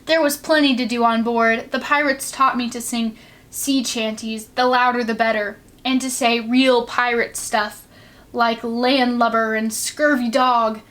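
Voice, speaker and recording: A woman reading a children's storybook aloud with expressive narration. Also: on the high side, measured pace.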